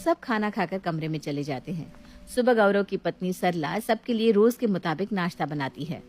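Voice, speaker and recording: A woman's voice: lowish voice, expressive, moderate pace, a little mellow. A woman storyteller reading scripted narration of a moral family story.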